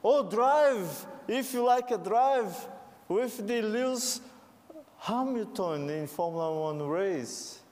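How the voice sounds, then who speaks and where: A Brazilian man with a Portuguese accent preaches a sermon in a high, lively voice that climbs into fervent calls. He talks very slowly and deliberately. His voice rings out with a long reverb. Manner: rising to fervent calls and responses.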